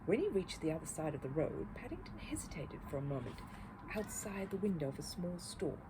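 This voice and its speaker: A woman reading a children's story aloud as an expressive narrator. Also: fairly low pitch, a little fast. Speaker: storybook reader. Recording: clear background noise.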